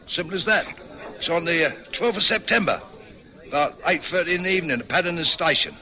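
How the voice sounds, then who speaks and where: Hostile, blustering husband with a voice; high, bright and swinging. Vintage radio drama. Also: male voice, animated, fast talker, exaggerated voice. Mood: surly. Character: belligerent pub-drinking husband, surly and defensive. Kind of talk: 1960s radio drama acting.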